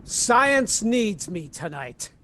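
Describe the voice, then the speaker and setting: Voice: medium pitch, very animated, drawn-out words, a bit bright, slight background noise, full character voice. A performer playing an irritable, pompous mad scientist professor in a spoof B-movie radio comedy, with a dry, exasperated manner.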